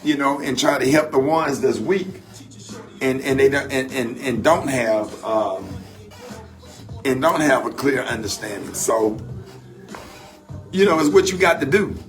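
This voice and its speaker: A man's voice: mid-range voice, soft background music. An older man with a Southern American accent hosting a radio morning show, talking casually and loosely about faith and grief. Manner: loose, laughing, drawn-out Southern phrasing. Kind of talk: casual radio banter and reflective talk.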